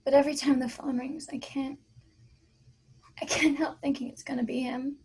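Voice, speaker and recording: A woman playing an anxious, protective young mother with a breathy, creaky voice in a screenplay table read. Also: high voice, flat delivery, normal speed, a little mellow, close to an everyday voice, poor audio. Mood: wistful, subdued.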